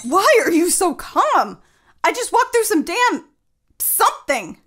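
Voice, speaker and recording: A high-pitched woman in a radio play, shaken and bewildered. She presses with urgent questions, stumbling into halting repetitions as she demands answers. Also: big pitch swings, a bit bright, slight background noise, full character voice. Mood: insistent, incredulous. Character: bewildered, insistent wife stranded in a strange world. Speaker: actress in an audio play. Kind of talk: audio drama acting.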